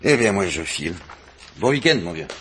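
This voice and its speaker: An actor playing an avuncular but insistent police chief in a 1950s-style French radio crime drama, placating yet authoritative. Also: male voice, deep voice, very animated, moderate pace, a bit bright, a little hiss or hum.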